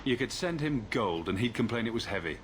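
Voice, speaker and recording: An actor playing a blunt, wry adventurer hero in a video game, with a dry, terse delivery. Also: male voice, mid-range voice, animated, a little fast, reverberant, a crowd in the background, natural voice. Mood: wry, urgent.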